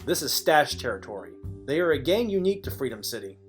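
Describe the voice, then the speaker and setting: Casting: man to play world-weary private eye narrator for noir spoof audio play, mid-range voice, dry deadpan wit. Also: mid-range voice, fast, soft background music, close to an everyday voice. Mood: wry, deadpan. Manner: deadpan, dryly wry. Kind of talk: film noir parody narration.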